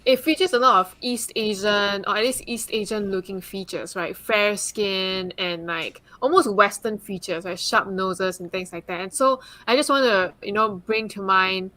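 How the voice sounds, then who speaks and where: A woman's voice: mid-range voice, moderate pace, hardly any pauses, bright, forceful tone. A woman from Singapore speaking earnestly as a guest on a live panel discussion, with frequent "like" and "um" fillers. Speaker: guest speaker, consultant and advocate.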